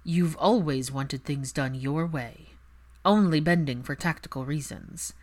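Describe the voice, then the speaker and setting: Resentful highborn young man, performed by a female narrator, lowish register, forceful, biting and deliberate, for dramatic story reading. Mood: cold anger. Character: bitter adult aristocratic son, cold, angry, resentful.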